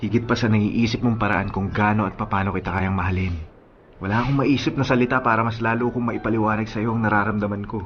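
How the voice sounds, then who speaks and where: A man's voice: lowish voice, steady tone, fast talker, highs cut off, lightly acted. A man playing a devoted young boyfriend in a radio drama, earnest and tender, making flowery declarations of love.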